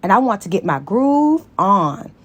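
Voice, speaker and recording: Woman reading as a lively, confident young teacher. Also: mid-range voice, measured pace, slightly rough, lo-fi sound, voice pushed up. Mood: playful. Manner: lively, playful, assertive. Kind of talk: dramatized audiobook dialogue.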